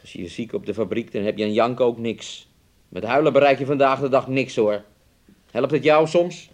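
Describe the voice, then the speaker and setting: A man's voice: mid-range voice, fairly quick, a little mellow, lo-fi sound, close to an everyday voice. An actor in a Dutch radio drama playing a volatile, taunting working-class man who swings between scorn and coaxing. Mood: aggrieved, mocking.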